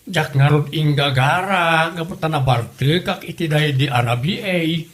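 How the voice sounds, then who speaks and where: A man's voice: on the high side, normal speed, a bit bright, close to an everyday voice. A man playing an aggrieved, plaintive elderly father with an Ilocano Filipino accent in a comedy audio drama. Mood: defensive, plaintive. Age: older. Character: aggrieved elderly father, fond of liquor, pleading innocence. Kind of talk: comedy radio drama acting.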